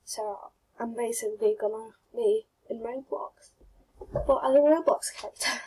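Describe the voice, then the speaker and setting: A woman hosts her own gaming channel, talking quietly and awkwardly as she plays. Her voice is very breathy and a little high, and she speaks slowly, trailing off into mumbles and giggles. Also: rough recording. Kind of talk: gameplay commentary.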